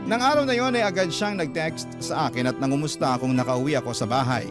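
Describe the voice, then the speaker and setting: A man's voice: a little high, brisk. A man with a Filipino accent narrating a scripted first-person story as a radio drama host reading a letter. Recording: clear guitar music under the voice.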